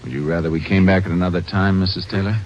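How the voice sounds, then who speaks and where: Low voice, calm shrewd lawman acted by a man, terse narration, radio crime drama, dull vintage recording. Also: quick pace, noisy background, lightly acted. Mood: grave, polite. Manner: terse, matter-of-fact. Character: calm, shrewd Texas Ranger narrating his case. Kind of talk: old-time radio acting and narration.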